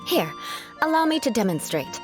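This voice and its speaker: A woman's voice: on the high side, big pitch swings, normal speed. A woman playing a proud young noblewoman in a video game, coolly vengeful and teasing, with formal, composed phrasing. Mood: instructive, formal. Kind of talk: video game character dialogue. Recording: background music under the voice. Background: game music.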